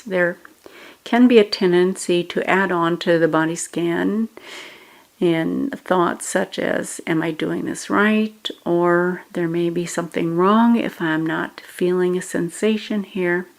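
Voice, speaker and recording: A woman with a slightly low voice leads a guided meditation. She speaks very slowly, in measured, instructional phrases. Pauses separate the short phrases.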